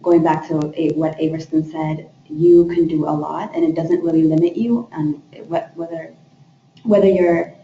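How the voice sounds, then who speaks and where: A woman's voice: deep voice, fairly even intonation, moderate pace, mellow. A young woman from Ethiopia, a graduate student, introducing herself informally and a little hesitantly, restarting phrases. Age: young adult. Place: echoey room, away from the mic. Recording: distant, echoey, muffled, noisy room.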